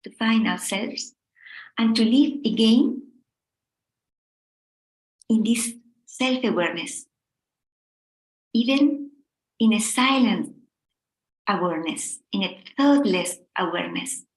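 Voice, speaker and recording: A middle-aged woman from Spain with a Spanish accent, gently leading a meditation talk in short, unhurried phrases with pauses. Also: a little high, expressive, reverberant, low-quality recording. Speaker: meditation teacher.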